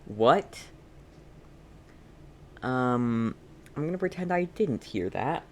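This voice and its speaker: Male streamer, casual game commentary, silly, slow-paced, lively pitch, faint noise. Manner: playful, silly chanting and muttering.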